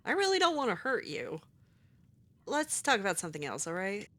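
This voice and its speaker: A woman's voice: mid-range voice, huge pitch swings, fairly quick, bright, forceful tone. A woman voicing her own character while playing a video game, sarcastic and hesitant, with many fillers. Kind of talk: in-character roleplay voiced over gameplay.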